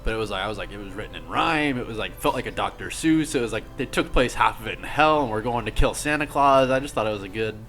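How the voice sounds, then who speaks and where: A man co-hosting a podcast in casual group commentary, talking haltingly and peppering his sentences with "like". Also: on the low side, a bit bright. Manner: halting, heavy use of "like". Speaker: podcast co-host. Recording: music playing behind. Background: music.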